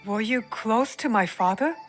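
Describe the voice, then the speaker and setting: A woman's voice: fairly high pitch, lively intonation, normal speed, close to an everyday voice. A woman playing a determined, guarded young female shinobi in a video game cutscene, speaking with earnest intensity. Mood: defiant. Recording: long hall reverb, music behind.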